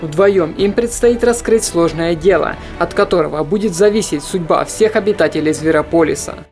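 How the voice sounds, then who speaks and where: A narrator reading a scripted countdown of animated films in a steady, informative voice-over. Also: mid-range voice, normal speed, soft background music. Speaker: film channel narrator.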